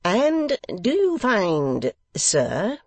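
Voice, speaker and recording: A reader voicing a pompous, condescending English gentleman host who talks slowly, loudly and overenunciates as if to a deaf child. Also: high-pitched, huge pitch swings, dry recording, pitched above the performer's own voice, full character voice.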